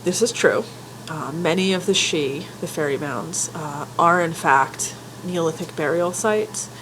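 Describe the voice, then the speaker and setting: A woman's voice: deep voice, measured pace, noisy background. Stuffy-nosed woman giving a casual lecture. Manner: careful, candid, frequent ums and restarts. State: stuffy from a head cold. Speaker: folklore writer and teacher. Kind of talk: informal spoken lecture.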